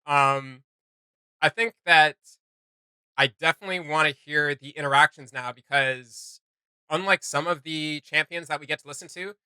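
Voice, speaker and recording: A man co-hosting a reaction video, chatting casually in an animated, rambling way. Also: on the high side, moderate pace, clear, resonant tone, no room sound.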